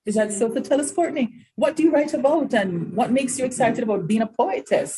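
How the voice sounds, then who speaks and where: A woman moderating a panel discussion, explaining points clearly and with emphasis. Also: fairly high pitch, fairly quick, a crowd in the background, low-quality recording.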